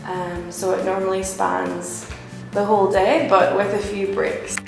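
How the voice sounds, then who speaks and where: A woman with a Northern Irish accent, an English teacher chatting in a recruitment interview with soft ums. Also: medium pitch, level tone, a little slow, gravelly. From Northern Ireland. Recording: very echoey room, music bed.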